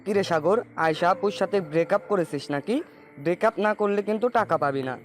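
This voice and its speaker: A man's voice: sits high, brisk, lightly acted. A man playing a nosy, teasing young male friend in an audio love story, with a needling, questioning manner. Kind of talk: acted dialogue in an amateur audio love story. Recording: voice over background music.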